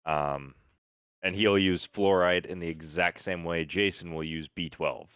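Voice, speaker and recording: A man's voice: low-pitched, fairly even intonation, measured pace, fairly bright tone, smooth, no echo, clean studio sound. A man with a Midwestern accent, hosting a casual podcast chat, talking easily with fillers and repeated words. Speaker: podcast host.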